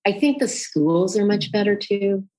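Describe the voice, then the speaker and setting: A woman's voice: mid-range voice, animated, a little slow, warm tone, slight background noise. A woman from Calgary, Canada, hosting a podcast, engaged and laughing easily as she talks. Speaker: podcast host. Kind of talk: casual conversation.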